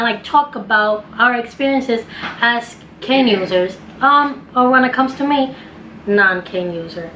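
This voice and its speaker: A woman's voice: sits high, a little hiss or hum, low-quality recording. A young woman hosting her own vlog, chatty and upbeat as she introduces the video. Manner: upbeat, chatty, switches to lively quick asides. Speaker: vlog host.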